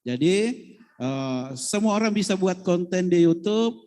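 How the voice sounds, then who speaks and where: A man's voice: high-pitched, animated, a little slow, mellow. A man moderating a school session in a polite, formal manner, reading out names and handing over to the speaker. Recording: long hall reverb.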